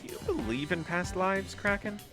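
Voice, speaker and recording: A woman's voice: fairly low pitch, normal speed, a bit bright, faint music behind. A woman playing an exasperated, sheepish, sceptical space-crew member in an audio drama, with a hesitant delivery.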